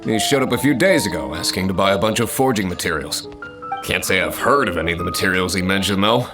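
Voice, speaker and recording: A gruff blacksmith talks plainly in a fairly low voice. It is video game dialogue, a little distant, with music behind. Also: male voice, lively intonation, fast talker. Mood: gruff, matter-of-fact.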